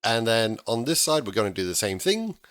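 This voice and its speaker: A man, slightly hoarse because he is losing his voice, talking through a game-building tutorial in a chatty way with frequent restarts. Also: lowish voice, sing-song, fairly quick, fairly bright tone, no echo. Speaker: gaming tutorial host. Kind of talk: casual game tutorial voice-over.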